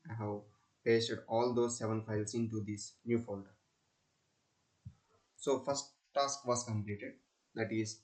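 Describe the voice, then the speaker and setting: A man narrates a step-by-step software tutorial as a student presenter, in halting, repetitive instructional phrases. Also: medium pitch, rough recording.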